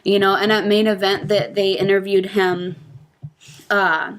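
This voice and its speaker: A woman's voice: mid-range voice, flat delivery, unhurried. Casual, opinionated woman podcast panelist.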